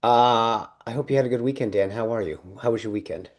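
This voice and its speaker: A man's voice: medium pitch, fast. Man, middle-aged, podcast co-host, casual political talk, chatty, frequent restarts. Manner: chatty, full of restarts and laughs.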